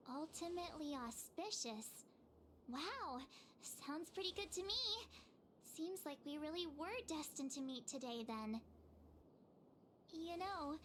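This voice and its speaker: Very high, chirpy young girl. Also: a bit bright, slight background noise, exaggerated voice. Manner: bubbly, casual. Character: chirpy, easygoing young girl adventurer, polite. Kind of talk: video game voice acting.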